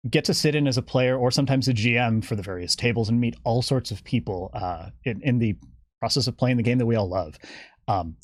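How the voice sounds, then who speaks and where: A man's voice: medium pitch, no room sound, studio quality. A man speaking as an interview guest, explaining his work as a game developer in a casual, enthusiastic way.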